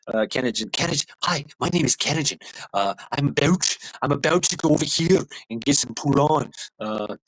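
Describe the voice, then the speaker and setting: A man's voice: medium pitch, a bit bright, dry recording, rough recording. A man hosting a casual livestream, rambling in a tipsy way, full of laughs and fillers. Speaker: livestream host.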